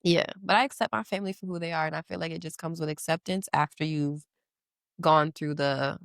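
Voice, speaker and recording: A woman in her thirties speaking candidly as a podcast guest, animated and quick to laugh, with casual slang. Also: low-pitched, creaky, no echo, studio quality. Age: 30s. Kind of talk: casual interview chat.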